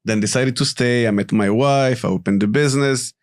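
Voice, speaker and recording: A man from Morocco, a company CEO, talking casually as a podcast guest and explaining things in a relaxed way with frequent asides. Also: medium pitch, brisk. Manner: relaxed, explanatory, tags sentences with "right?" and "you know". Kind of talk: casual interview talk.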